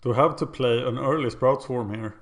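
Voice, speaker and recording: A man with a Swedish accent talks through his card game out loud, weighing each play and poking fun at his own choices. His voice sits at an ordinary middle pitch. Keyboard typing and mouse clicks can be heard behind him. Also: normal speed. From Sweden. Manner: self-deprecating, thinking aloud. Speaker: game commentator. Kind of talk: casual gameplay commentary.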